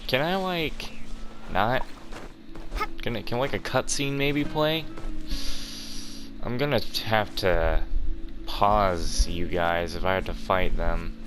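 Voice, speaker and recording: Low-voiced man rambling over game music. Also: takes it slowly, pauses often. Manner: rambling, muttering to himself. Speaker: gaming channel player. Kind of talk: casual playthrough commentary.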